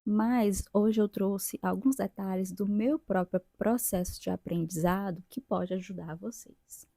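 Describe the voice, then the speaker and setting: A woman in her twenties from Brazil, an English teacher and linguist, talking about her own experience in a reflective vlog with pauses and restarts. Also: mid-range voice, fairly even intonation, creaky, mellow, dry recording, studio quality. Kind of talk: casual personal vlog.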